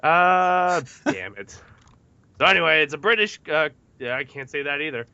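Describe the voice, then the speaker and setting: A man's voice: fairly high pitch, moderate pace, bright, forceful tone, clean tone, no room sound, muffled recording. A man hosting a casual film discussion, partly reading from notes in a jokey, self-mocking way. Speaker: podcast host.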